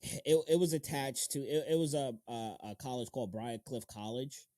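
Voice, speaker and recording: A man's voice: medium pitch, moderate pace, a little mellow, no room sound, clean studio sound. A man hosts a casual interview, talking in loose slang with frequent swearing and repeating words for emphasis. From Long Island, United States. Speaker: podcast host.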